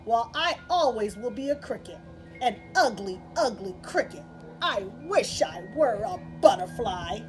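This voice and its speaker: A woman's voice: a little high, lively intonation, measured pace, faint music behind. A woman voicing a sad, self-doubting little boy cricket in a plaintive storybook read-aloud. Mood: glum, complaining. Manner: plaintive, protesting.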